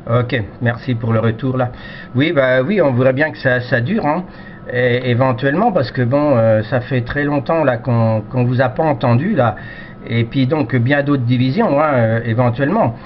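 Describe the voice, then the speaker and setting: A man chatting casually as an amateur radio operator, in halting, repetitive phrases over a radio. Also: mid-range voice. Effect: radio transmission. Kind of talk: casual radio contact chat. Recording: dull, noisy radio audio.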